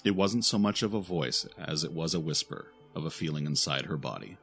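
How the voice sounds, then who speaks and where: A man's voice: rumbling low, lively intonation, a little fast, fairly bright tone, faint music behind. A man reading scripted audio drama narration in a dramatic, solemn storytelling manner.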